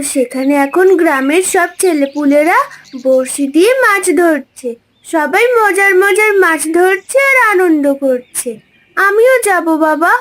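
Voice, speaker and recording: Very high-pitched pleading little village girl. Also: fairly bright tone. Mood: eager pleading. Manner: whiny, insistent, childlike pleading. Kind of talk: cartoon story voice acting.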